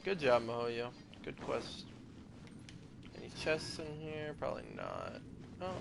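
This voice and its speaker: A man's voice: mid-range voice, huge pitch swings, a little slow, creaky, background noise. A young man doing casual live gaming commentary, slangy and offhand, sometimes muttering. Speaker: video game streamer. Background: game music.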